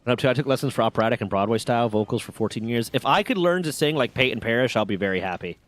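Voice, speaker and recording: A man's voice: medium pitch, expressive, very fast, poor audio. A man in his thirties streaming a game and chatting casually, rambling and swearing as he tells stories. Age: 30s. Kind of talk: casual gaming chat.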